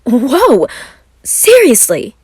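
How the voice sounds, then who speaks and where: Woman voicing a feisty, dramatic little young man, high-pitched. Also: huge pitch swings, very slow, darkish tone, husky, no echo, clean studio sound, full character voice. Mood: astonished. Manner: emphatic, teasing, exclaiming. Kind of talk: fan fiction audio story acting.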